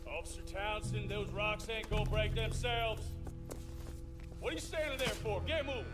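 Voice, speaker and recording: An actor playing a harsh, bullying prison work-camp boss in a western video game, shouting commands. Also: male voice, extremely high pitch. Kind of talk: scripted western game acting.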